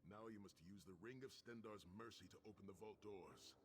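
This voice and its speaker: An actor playing a solemn, earnest quest guide in a fantasy game, giving formal instructions. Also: male voice, lowish voice, steady tone, fairly quick, lightly acted. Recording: heavy game ambience noise.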